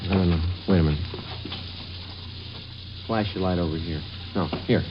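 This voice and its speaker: A man playing a stoic police sergeant in a 1950s radio drama, with clipped, deadpan delivery. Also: sits low, normal speed, background noise, close to an everyday voice. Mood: terse, intent. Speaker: narrator and lead actor. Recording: dull old radio recording, music behind.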